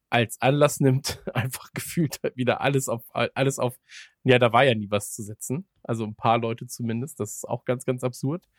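A man's voice: mid-range voice, dry recording, studio quality. A man chatting casually as a podcast host, rambling along with ironic jokes. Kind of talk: casual chat.

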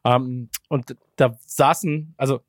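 A man's voice: mid-range voice, steady tone, slow, no echo. Rambling podcast man, dry sound. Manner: rambling, many fillers, laughs while talking. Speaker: podcast co-host. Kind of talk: casual podcast chat.

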